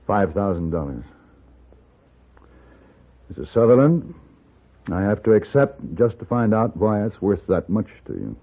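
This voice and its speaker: An actor playing a composed, courteous gunfighter for hire in a 1950s radio western, speaking with measured, dry politeness. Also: male voice, lowish voice, very animated, slightly rough, heavily acted. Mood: curious, courteous. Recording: muffled old radio recording, music underneath.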